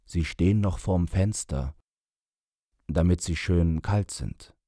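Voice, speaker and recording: Man voicing a gentle, helpless father. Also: low voice, slow pace, no room sound, clean studio sound. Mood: apologetic.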